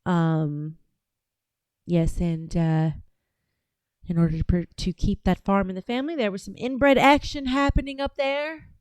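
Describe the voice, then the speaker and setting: Southern-born woman livestreamer, chatty and wandering, smooth voice at a middle pitch. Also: a little slow, a little mellow, dry recording. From Georgia, US South. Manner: rambling, chatty, trails off and restarts. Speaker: livestream host. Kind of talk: casual livestream chat.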